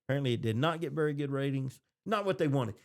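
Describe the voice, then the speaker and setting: A man's voice: mid-range voice, animated, quick pace. An American man hosting a podcast, chatting casually about TV shows in reaction to them. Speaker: podcast host.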